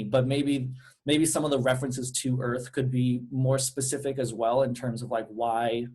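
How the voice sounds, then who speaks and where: Man, mid-pitched, casual cast member thinking aloud through script notes. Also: husky. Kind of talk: casual group discussion.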